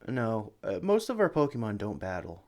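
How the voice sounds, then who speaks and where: Man playing an excitable, lively-pitched sanctuary employee. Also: normal speed. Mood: instructive, friendly. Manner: chatty, eager, apologetic, with hesitations. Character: excitable, friendly young male sanctuary employee training a newcomer. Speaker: experienced employee showing a new recruit around. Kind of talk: ASMR roleplay, one-sided conversation with the listener.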